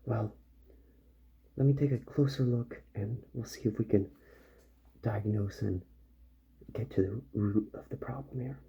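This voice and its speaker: A man doing a soft-spoken ASMR roleplay as a calm, methodical repair doctor, musing gently to himself and often drifting into a whisper. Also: fairly low pitch, measured pace, creaky, pauses often, slightly rough. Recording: muffled audio with faint background noise.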